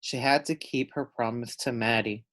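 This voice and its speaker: A man reading a children's picture book aloud with expressive, teacherly delivery and deliberate phrasing. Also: mid-range voice. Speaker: read-aloud storyteller.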